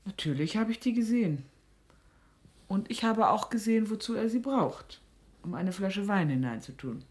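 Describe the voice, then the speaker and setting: An actress as a middle-aged mother in a radio play. She is sardonic and suspicious, questioning like a stern interrogator. Her voice sits at an average pitch for a woman. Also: animated, normal speed, a little mellow, close to an everyday voice.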